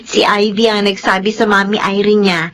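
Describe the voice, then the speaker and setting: An actress playing a kindly, devoted nanny in a Filipino radio drama, narrating and acting with a gentle, caring manner. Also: female voice, medium pitch, little pitch movement, normal speed, lightly acted. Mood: caring, concerned. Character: kindly, devoted nanny and mother telling her story. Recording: music bed behind the voice.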